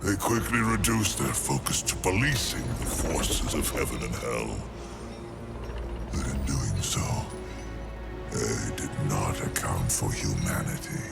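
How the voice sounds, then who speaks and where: Abyssal, gravelly ancient otherworldly lord. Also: male voice, lively intonation, heavily acted. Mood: solemn, earnest. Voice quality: very deep, gravelly. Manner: grave, deliberate, theatrical, formal diction. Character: ancient, solemn otherworldly lord, once a councillor. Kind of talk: video game cutscene acting. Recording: loud music behind the voice. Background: loud music.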